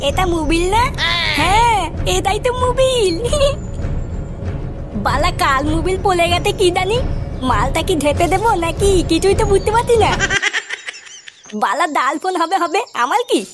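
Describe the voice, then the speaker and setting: A woman's voice: extremely high pitch, animated, normal speed, pauses often, music in the background. A woman voicing a whiny, defiant, foul-mouthed little boy with a childish lisp in an amateur comedy cartoon. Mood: curious, scheming.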